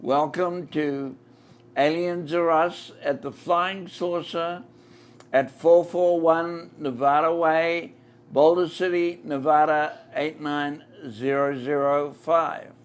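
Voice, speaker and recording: A man with a New Zealand accent hosting a live-stream interview from inside a themed shop, speaking slowly and deliberately. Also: fairly high pitch, fairly even intonation, clear, resonant tone. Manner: slow, deliberate, repeats the shop address. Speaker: podcast host.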